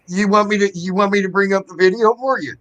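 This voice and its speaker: A man's voice: sits high, fairly even intonation, fast, a bit bright. A man hosting a casual livestream chat, rambling and swearing freely as he airs strong opinions.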